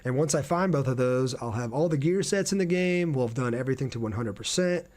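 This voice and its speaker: A man's voice: mid-range voice, expressive, a little fast, darkish tone. Chatty male livestream gamer. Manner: chatty, thinks aloud, addresses viewers. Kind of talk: casual livestream gameplay commentary.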